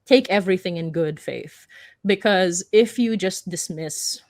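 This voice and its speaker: A woman speaking unscripted as a writer on a discussion panel, earnest and halting as she rephrases her points. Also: lowish voice, a little mellow, no echo, clean studio sound. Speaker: panelist, audio drama writer.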